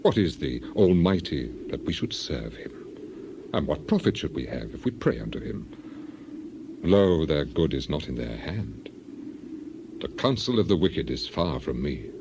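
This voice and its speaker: Casting: suffering, defiant ancient man in scripture drama; impassioned declamation, deep and highly animated, clear background noise. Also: a little slow, heavily acted. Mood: bitter.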